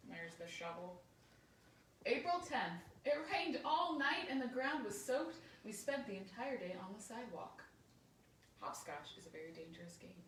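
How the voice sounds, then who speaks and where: A woman reading a picture book aloud to children, expressive and chatty, pausing to speak directly to her young listeners. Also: mid-range voice. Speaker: story time reader for a church. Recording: roomy, distant microphone, faint background noise.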